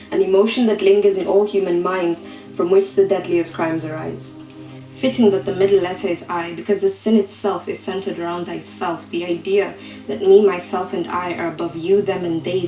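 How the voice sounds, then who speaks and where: A woman with a slightly low voice recites slam poetry in a roomy, echoing space. Her delivery is deliberate and rhetorical, broken by dramatic pauses. Faint music plays behind her. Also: a little mellow. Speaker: slam poet.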